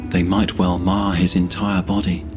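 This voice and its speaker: A man narrating scripted fantasy as a storyteller in a solemn, measured manner. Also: deep voice, level tone, music in the background.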